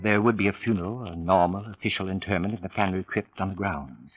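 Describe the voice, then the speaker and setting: A man narrating 1940s radio suspense in a dramatic scripted style, with deliberate pauses and ominous build-up. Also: deep voice, lightly acted. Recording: dull vintage radio recording with music.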